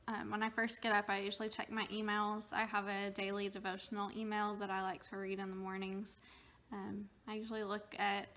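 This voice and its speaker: A woman's voice: mid-range voice, bright, forceful tone. A woman in her twenties answering interview questions in an orderly way, listing her routine step by step. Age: 20s. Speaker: focus group participant.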